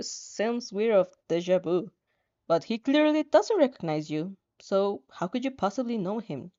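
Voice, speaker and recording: Mellow-voiced woman reading fan fiction casually. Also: fairly high pitch, highs cut off. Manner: giggly, stumbles over words while reading. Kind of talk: casual read-aloud with off-script reactions.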